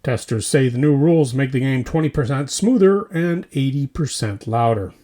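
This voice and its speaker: A man's voice: medium pitch, animated, moderate pace, warm tone. A man hosting a scripted, tongue-in-cheek news roundup in short, punchy phrases. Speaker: host of a tabletop wargaming hobby news podcast. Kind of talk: scripted comedic news roundup with mock commercials.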